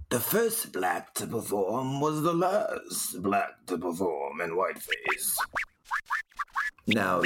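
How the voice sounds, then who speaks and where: An actor playing a rambling, deadpan male storyteller telling absurd family history in a comedic audio drama, with deliberate dramatic pauses. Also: deep voice, full character voice.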